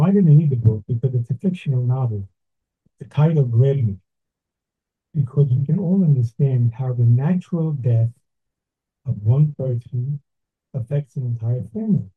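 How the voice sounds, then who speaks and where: An older man from Brooklyn, giving a slow, deliberate, reflective talk with pauses. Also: mid-range voice, slightly rough. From Brooklyn, New York. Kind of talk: measured reflective address. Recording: tinny phone-like sound.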